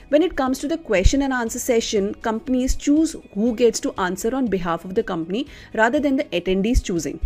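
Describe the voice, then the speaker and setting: A woman's voice: fairly high pitch, darkish tone. A woman reading a scripted educational narration as the narrator of an explainer video. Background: background music.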